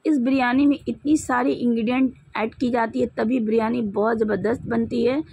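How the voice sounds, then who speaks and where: A woman's voice: a little high, fairly even intonation, moderate pace, creaky, a little mellow, husky, poor audio. A woman with an Indian accent narrating a recipe step by step in a methodical, instructional way. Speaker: home cook presenting a recipe. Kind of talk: step-by-step cooking tutorial narration.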